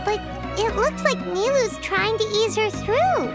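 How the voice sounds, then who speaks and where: An actress voicing a tiny, childlike, outspoken sprite companion in a game, squeaky and blunt. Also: female voice, lively intonation, unhurried, loud music behind the voice. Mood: supportive. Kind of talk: English game dub voice acting.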